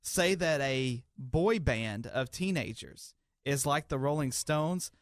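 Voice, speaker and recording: A man's voice: mid-range voice, animated, takes it slowly, no room sound, clean studio sound. A man with an American accent talking on air as a radio host in a polished, conversational way.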